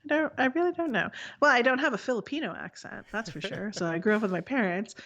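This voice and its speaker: A woman from the Texas Panhandle co-hosting a casual chat, blunt and quick with asides. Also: on the high side, fairly bright tone, highs cut off. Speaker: podcast co-host.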